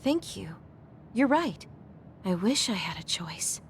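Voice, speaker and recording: An actress playing a gentle, polite and self-effacing young woman in a video game. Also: medium pitch, big pitch swings, takes it slowly, a little hiss or hum. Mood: thoughtful, reassuring. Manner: polite, apologetic.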